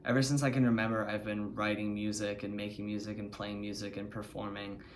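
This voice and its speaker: Earnest low-voiced young man. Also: level tone, fairly quick, slight background noise. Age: 20s. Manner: earnest and heartfelt. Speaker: band member. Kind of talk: documentary interview.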